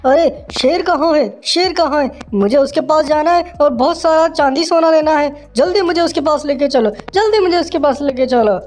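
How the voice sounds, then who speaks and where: Woman doing a squeaky greedy priest. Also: normal speed, hardly any pauses, a little mellow, faint music behind, natural voice. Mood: impatient. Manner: frantic, repeats himself eagerly. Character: greedy, impatient middle-aged male priest.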